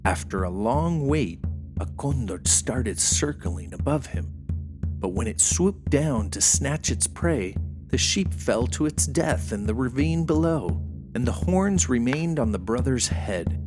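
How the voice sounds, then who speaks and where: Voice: medium pitch, expressive, a little slow. A storyteller giving a dramatic scripted narration of a folktale, over music.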